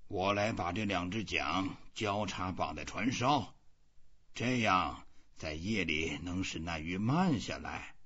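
Male reader as stoic old fisherman musing alone in an audiobook; slow, raspy, dull lo-fi sound. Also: low-pitched, expressive, fairly bright tone, exaggerated voice. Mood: resolute, musing. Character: old fisherman, stoic and determined, talking to himself.

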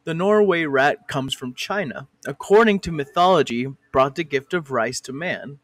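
A man's voice: a little high, normal speed, no echo. A man narrating a comic fan parody of an educational cartoon, breaking off to swear and argue with a director.

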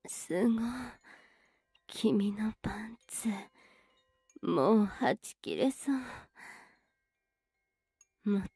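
A woman's voice: medium pitch, lively intonation, extremely slow, vocal fry, long pauses, mellow, breathy edge, no echo, exaggerated voice. An actress playing a teasing, seductive woman in an ASMR roleplay, whispering breathily.

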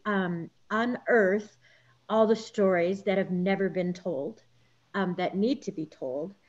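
A woman journalist on a panel over a video call, reflective and halting, with many fillers. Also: mid-range voice, a little mellow, lo-fi sound. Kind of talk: panel discussion.

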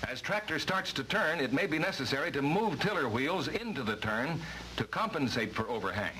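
A man's voice: fairly high pitch, sing-song, brisk, pushed and bright, a little hiss or hum, rough recording. A man reading formal, precise instructional narration for a vintage training film, on a worn old soundtrack.

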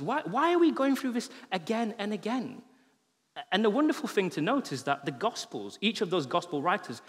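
A man in his thirties gives a sermon from a stage, his headset mic catching a chatty, humorous delivery. His voice sits a little on the high side for a man. The large church hall carries a long, lingering reverb behind every sentence. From England. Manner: conversational and humorous, with animated gestures. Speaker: pastor.

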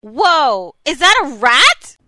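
A woman playing a friendly, curious young lab intern in a comic dub, perky and expressive. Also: high-pitched, slow, no echo, studio quality, full character voice. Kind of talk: comic book dub acting.

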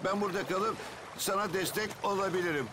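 A man's voice: high-pitched, brisk, heavy background noise, close to an everyday voice. A man voicing an earnest, reassuring village man in a children's cartoon, with music behind. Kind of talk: animated children's cartoon dub.

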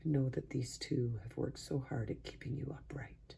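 A man softly guiding a sleep meditation in a hushed, breathy voice that often sinks into a whisper, slow and soothing with long pauses. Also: mid-range voice, fairly even intonation. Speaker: meditation guide. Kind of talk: guided sleep meditation, soft-spoken.

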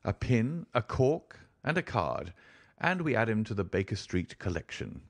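A man's voice: lowish voice, wildly swooping pitch, normal speed, vocal fry, heavily acted. A man voicing a keen, commanding detective in an audiobook, assured, precise and theatrical, with dry amusement. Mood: triumphant. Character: keen, commanding male detective, dryly amused and relishing the hunt. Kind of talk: audiobook character voice.

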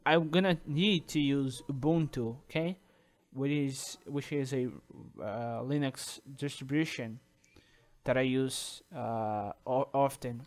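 A man whose first language is Portuguese, talking through a coding tutorial over a screen recording, halting with restarts and checking in with "okay?". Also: mid-range voice. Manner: halting, many restarts and "uh", tags sentences with "okay?". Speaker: programming tutor.

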